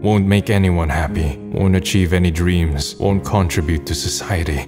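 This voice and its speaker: A man's voice: deep voice, normal speed, lightly acted. A man playing a gloomy, self-deprecating twenty-year-old who narrates his own story in a subdued, resigned way. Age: young adult. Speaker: narrator and protagonist. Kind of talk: scripted first-person narration. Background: background music.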